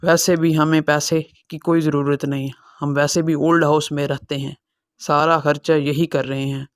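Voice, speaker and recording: A man playing a gentle, sorrowful elderly father in a dramatized cartoon moral story. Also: on the high side, flat delivery, moderate pace, no room sound, clean studio sound, close to an everyday voice. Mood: resigned, hurt. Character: sorrowful, self-sacrificing elderly father, gentle with his children.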